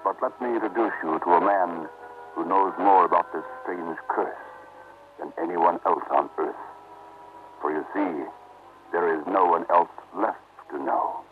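Narrow, tinny old radio sound with prominent music under an ominous announcer. His voice is deep and florid, each phrase delivered with portentous, deliberate drama. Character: ominous, florid announcer introducing a horror mystery. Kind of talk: old-time radio horror narration. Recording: tinny vintage radio recording.

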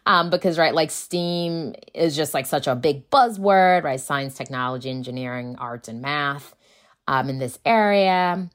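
Low female voice, native Akan speaker, casual interview talk, animated storyteller who voices quoted dialogue. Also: unhurried. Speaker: guest, school founder.

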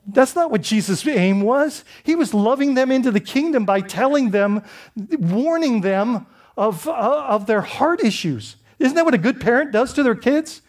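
A high-pitched man from the Bahamas delivers a sermon as pastor. He preaches in a loose, conversational way, restarting phrases and checking in with the congregation through rhetorical questions. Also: expressive, moderate pace.

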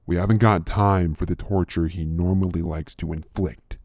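A man reading a story, voicing a calm, threatening male enforcer who speaks slowly. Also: dry recording, clean studio sound. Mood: menacing. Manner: slow, low, threatening.

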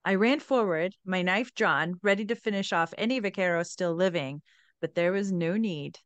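A woman's voice: on the low side, normal speed, no echo. A woman hosting a podcast, retelling and reading aloud from a book with a chatty, enthusiastic manner. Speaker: podcast host.

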